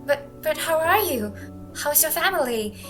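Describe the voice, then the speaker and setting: A woman's voice: sits high, lively intonation, measured pace, a bit bright, soft background music. A woman playing an anxious, caring teenage girl worried for her friend in an amateur radio drama. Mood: worried, relieved.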